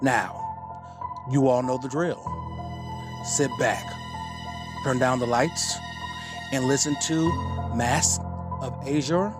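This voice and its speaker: Slow-talking male podcast host. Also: medium pitch, loud music behind the voice. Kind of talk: casual podcast introduction.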